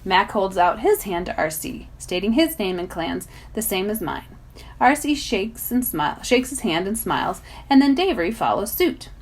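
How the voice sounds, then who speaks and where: A woman narrating a children's novel as a volunteer reader, in an expressive storytelling style. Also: mid-range voice, normal speed.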